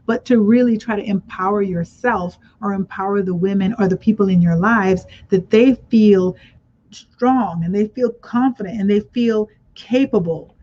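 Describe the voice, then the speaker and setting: A woman's voice: medium pitch, normal speed. A woman hosting a radio talk show, telling stories in a casual chat and encouraging her guest. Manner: warm encouraging affirmations, storytelling. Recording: dull lo-fi radio audio.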